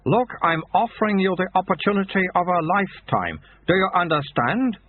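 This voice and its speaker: A sly, self-satisfied secret-order monk, put on by a male audiobook narrator, his voice raised and drawn out at a deliberate, teasing pace. It comes across tinny and phone-like, with a hint of huskiness. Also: high voice, lively intonation, no echo, voice pushed up. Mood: smug. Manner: smug, patient and slyly teasing. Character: mysterious dry-witted male recruiter monk.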